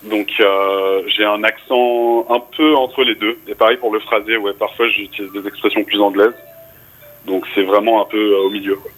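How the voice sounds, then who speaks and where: A man's voice: lowish voice, moderate pace, poor audio. A young man from France, a rapper guest in a radio interview, talking casually with fillers. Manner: relaxed, casual, speaks with fillers.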